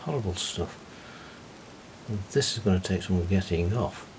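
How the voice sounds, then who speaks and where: Hobbyist man, lowish voice, relaxed muttered workshop commentary, noisy take with clicks. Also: normal speed. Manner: muttering running commentary, easygoing. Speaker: hobbyist repairing a reel-to-reel deck. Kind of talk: casual workshop narration.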